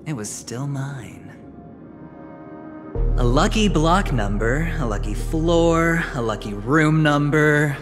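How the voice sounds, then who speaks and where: A man playing a suave, scheming young gambler in a video game, smug and teasing. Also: on the high side. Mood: amused, smug. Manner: smooth-talking, smug, theatrical, teasing. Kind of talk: dramatic video game voice acting. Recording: long hall reverb, game music behind.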